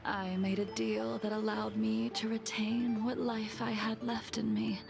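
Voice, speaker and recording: Ageless game swordswoman: cold, weary, composed, unhurried, breathy with vocal fry. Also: a little high, little pitch movement. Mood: resigned. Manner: solemn, measured, formal. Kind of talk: English video game voice acting. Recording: game music behind the voice.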